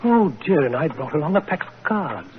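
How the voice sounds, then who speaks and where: A fussy, timid old bank director. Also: male voice, a little high, very animated, moderate pace, big-room sound. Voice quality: fussy, flustered. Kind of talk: 1940s radio acting. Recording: dull lo-fi 1940s radio broadcast with faint hiss.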